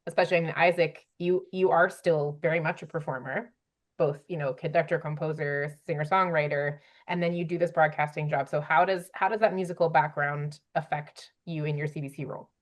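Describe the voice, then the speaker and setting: A woman's voice: low-pitched, steady tone, brisk. A woman hosting a panel discussion, putting chatty, wordy questions to the guests in a conversational way. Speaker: panel moderator, host. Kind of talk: conversational moderating.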